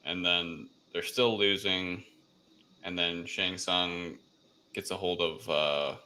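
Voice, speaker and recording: Bright-voiced, low-pitched man recapping casually. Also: fairly even intonation, slow pace, slight background noise. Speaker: podcast co-host. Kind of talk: casual film recap chat.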